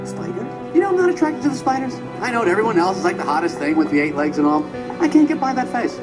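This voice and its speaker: A man's voice: very high-pitched, very animated, fast, over loud music. An actor voicing a neurotic, wisecracking male honeybee in a cartoon film, with a fussy, exclaiming delivery. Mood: squeamish.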